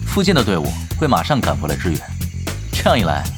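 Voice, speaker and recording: An actor playing a sly, laid-back veteran gamer in an audio drama, with a dry, teasing manner. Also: male voice, deep voice, huge pitch swings, fast, over music. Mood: calm, wry.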